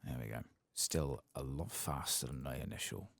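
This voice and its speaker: Very deep, soft-spoken man with creaky voice. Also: moderate pace, a little hiss or hum. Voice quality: soft, hushed, sometimes slipping into whispers. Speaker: video game streamer. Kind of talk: soft-spoken ASMR game playthrough commentary.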